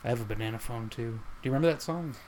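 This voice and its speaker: A man's voice: mid-range voice, very fast, darkish tone, slight background noise. A man co-hosting a casual podcast, joking around and laughing often. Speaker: podcast co-host. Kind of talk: casual chat.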